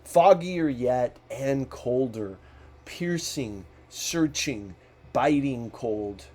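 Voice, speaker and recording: A male audiobook narrator reads literary fiction at a slow pace. His voice is mellow and mid-pitched. He shapes phrases deliberately, with a dramatic storyteller's delivery. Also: expressive.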